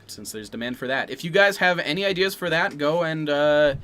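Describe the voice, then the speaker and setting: A man's voice: on the high side, lively intonation, fairly quick, fairly bright tone, clear, resonant tone. A man co-hosting a casual livestream Q&A, laid-back and dry in his remarks. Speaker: co-host of a livestream Q&A.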